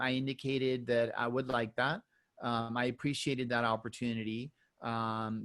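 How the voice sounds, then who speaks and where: Earnest man doctor on a video call. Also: mid-range voice. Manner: earnest, repeats words. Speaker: panelist, doctor. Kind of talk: webinar discussion.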